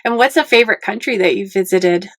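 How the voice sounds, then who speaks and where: Hoarse-edged woman hosting a podcast chat. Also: medium pitch, fast, no room sound, studio quality. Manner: friendly and encouraging, short reactions. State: losing her voice. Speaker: podcast host. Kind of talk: casual interview chat.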